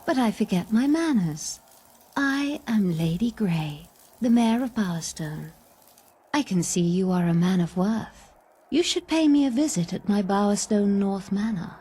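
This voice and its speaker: Game noblewoman: haughty, seductive, imperious, mid-pitched, measured delivery. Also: animated, warm tone, slightly rough. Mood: poised, flattering. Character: aristocratic lady mayor, poised, haughty, seductive. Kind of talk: video game cutscene acting.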